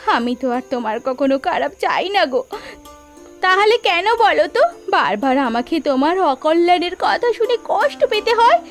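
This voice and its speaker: Very high, plaintive young wife. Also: female voice, big pitch swings, normal speed, faint music behind. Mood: hurt. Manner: plaintive, pleading. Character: young newlywed wife, tearful, pleading, devoted and deferential. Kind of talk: animated story character acting.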